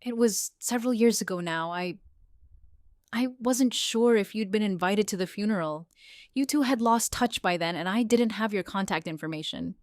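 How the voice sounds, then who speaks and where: A woman's voice: mid-range voice, moderate pace, dry recording, studio quality, close to an everyday voice. A woman playing an earnest, emotional adult stepdaughter in an audio story. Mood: tearful. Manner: trembling, earnest. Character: emotional woman meeting her former stepfather.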